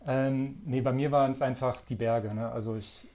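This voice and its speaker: A man in his thirties from northern Germany, a magazine editor talking as a guest in relaxed, friendly chat with frequent fillers. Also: mid-range voice, normal speed. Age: 30s. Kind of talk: casual interview chat.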